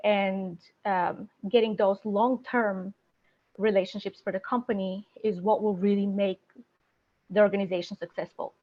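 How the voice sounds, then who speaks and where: A woman working in procurement, speaking as an interview guest in a thoughtful, halting way with many fillers. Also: mid-range voice, highs cut off. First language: not Spanish; speaks several languages. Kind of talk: career interview. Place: San Diego area.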